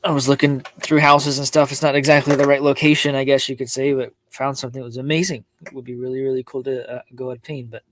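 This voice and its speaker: A man hosting a personal livestream, talking casually and confidingly to viewers in a halting, reflective way, often circling back and repeating his words. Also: medium pitch, little pitch movement. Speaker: host of a personal live-streamed show. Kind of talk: casual, confiding livestream talk to viewers, reading chat comments.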